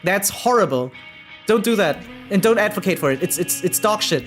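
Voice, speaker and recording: A woman streams games with casual, opinionated commentary. Her pitch moves in big, animated swings as she makes emphatic points in informal, slangy language. Soft game music plays behind her. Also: moderate pace. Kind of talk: casual livestream commentary and reaction.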